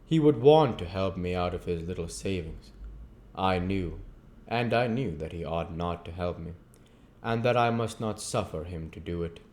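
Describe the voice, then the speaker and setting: Man, low pitch, audiobook narration, classic novel reading, channel host. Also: expressive, moderate pace, a little mellow.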